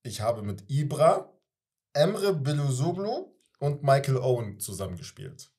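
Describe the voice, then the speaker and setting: A young man with a husky voice, bantering casually as host and player in a guessing game. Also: mid-range voice, big pitch swings, unhurried, darkish tone. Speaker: quiz show host and contestant. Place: small indoor podcast studio. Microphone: studio microphone on desk arm.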